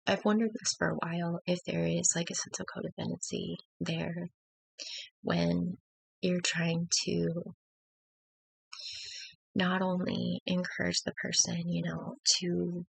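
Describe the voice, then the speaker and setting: A woman who has just woken up, speaking in a gravelly voice as she talks through a personal struggle at home on her laptop, hesitant and reflective with many fillers and restarts. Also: fairly low pitch, level tone, a little slow, pauses often, dry recording, poor audio. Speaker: faith vlogger. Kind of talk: personal confessional talk to camera.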